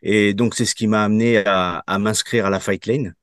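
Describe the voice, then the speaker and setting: A man in his fifties with a lowish voice answers interview questions in a halting way, pausing on fillers and starting his sentences over. His voice is recorded close and dry, with no room sound. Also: steady tone, a bit bright, no echo. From Portugal. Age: 50s. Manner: halting, many fillers and restarts. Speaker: program participant giving a testimonial.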